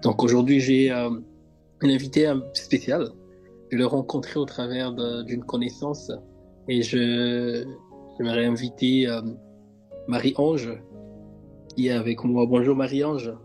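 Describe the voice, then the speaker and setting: A man's voice: mid-range voice, little pitch movement, measured pace, pauses often, a little mellow, faint music behind. A man hosting a faith podcast, speaking hesitantly with frequent fillers and repeated words. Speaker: podcast host.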